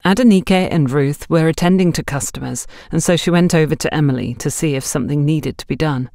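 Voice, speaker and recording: A woman's voice: low voice, fairly quick, gravelly, dark tone, no echo, studio quality. A woman reading a scripted mystery story aloud as an audiobook narrator.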